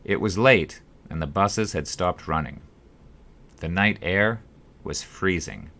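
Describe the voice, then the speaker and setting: A man reading his own first-person story as an audiobook, with measured, deliberate phrasing. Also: low voice, fairly bright tone. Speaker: author reading own book.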